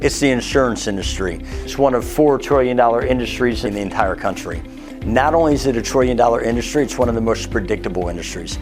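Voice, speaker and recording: A man giving an upbeat, persuasive pitch as an insurance agency leader. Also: lively intonation, fast talker. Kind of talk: promotional testimonial. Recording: background music.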